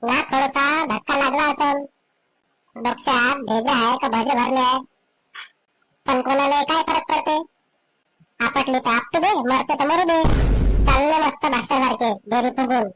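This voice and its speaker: A high, piercing-pitched wife from a comedy cartoon, acted by a woman, forever nagging. She complains with heavy sarcasm in Vidarbha Marathi. Some background noise sits on the recording. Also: a little slow, pauses often, a bit bright, poor audio. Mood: scolding, aggrieved.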